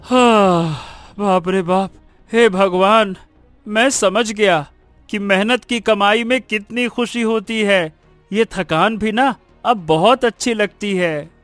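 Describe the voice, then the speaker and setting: A humble, repentant schoolboy from a cartoon village tale, voiced by a man. The voice is very high and somewhat slow, with earnest, emphatic delivery. Soft music runs behind it. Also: no echo. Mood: tired, happy. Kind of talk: animated moral story dubbing.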